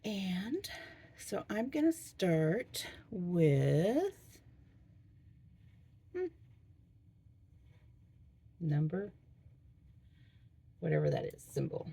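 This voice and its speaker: A middle-aged woman with a hoarse voice, chatting casually and thinking aloud as she works on a diamond painting. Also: lowish voice, big pitch swings, drawn-out words, long pauses, dark tone, a little hiss or hum. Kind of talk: casual crafting vlog chat.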